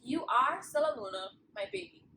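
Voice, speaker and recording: A woman's voice: high voice, sing-song, moderate pace, sharp tone, exaggerated voice. A woman voicing a tender, reassuring mother bat in a storybook reading. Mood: searching, astonished. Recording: distant mic with clear background noise.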